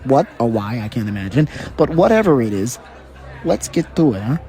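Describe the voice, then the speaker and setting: A man playing a blunt, guarded gambler deep in debt, in an audio drama. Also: very animated, normal speed, dark tone, some chatter behind. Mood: guarded, irritated. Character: wary, indebted gambler, blunt and impatient.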